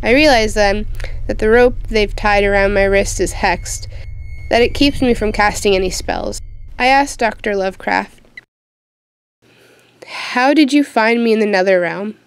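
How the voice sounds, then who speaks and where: A woman's voice: medium pitch, a little slow, long pauses, clear, resonant tone, no room sound, faint music behind, close to an everyday voice. A woman playing a frightened teenage witch who tells her own story in a dramatic, youthful way for an audio drama. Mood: uneasy wonder. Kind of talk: first-person narration in an audio drama.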